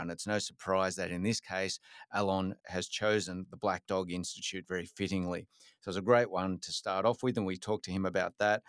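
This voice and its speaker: A man hosting a podcast interview, speaking in a measured, steady way with a few fillers. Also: sits low, smooth, no echo, clean studio sound. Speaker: podcast host.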